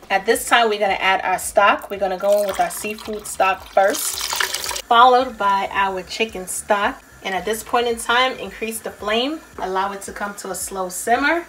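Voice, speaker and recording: A woman's voice: mid-range voice, a bit bright, slight background noise, low-quality recording. A woman narrating a recipe casually as a cooking host, chatty and playful, talking straight to viewers.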